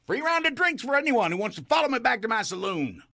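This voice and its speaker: An actor playing a brash, mocking Western saloon owner in a video game, taunting and sardonic. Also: male voice, extremely high pitch, very animated, fast talker, bright, forceful tone, no echo, clean studio sound, full character voice. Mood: mocking, defiant. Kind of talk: dramatic video game acting.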